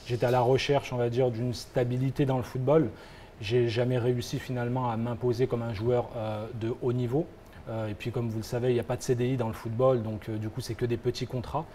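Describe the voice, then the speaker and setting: A man's voice: mid-range voice, level tone, fairly quick, warm tone, slight background noise. A young man from France answering interview questions, hesitant and full of fillers. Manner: hesitant, many fillers and restarts. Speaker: guest, founder of a football agency.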